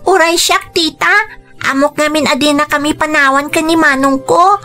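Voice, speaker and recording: Affectionate little girl, very high pitch, bright tone, Filipino accent, radio drama. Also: fairly even intonation, moderate pace, soft background music. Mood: meek, grateful. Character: little girl Lala, affectionate younger sister. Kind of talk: Ilocano radio drama acting.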